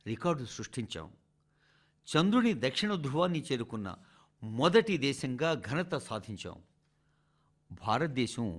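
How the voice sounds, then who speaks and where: A man reading a formal scripted speech for radio in measured, emphatic oratorical phrases. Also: medium pitch.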